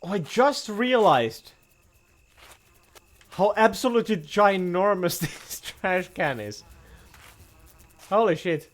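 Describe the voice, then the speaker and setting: A man streaming a game live, reacting excitably, laughing and swearing as he plays. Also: sits high, takes it slowly, pauses often.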